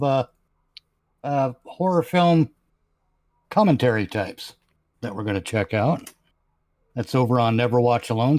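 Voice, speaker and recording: A man's voice: medium pitch, darkish tone, no echo. Guy panelist fumbling thru show plugs, dry sound, no room. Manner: rambling, halting plugs. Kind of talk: casual chat.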